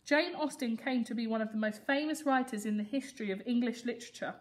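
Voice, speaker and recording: Young woman reading aloud, higher-pitched voice. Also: fast talker. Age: young adult. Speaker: early years teacher reading to children. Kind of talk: read-aloud storytelling for young children. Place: school classroom reading corner, indoors.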